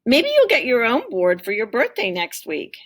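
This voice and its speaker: A casual, upbeat young skateboarder from a storybook, played by a woman reader. The boy sounds brisk and friendly, pitched up with a husky grain. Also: wildly swooping pitch, far from the mic.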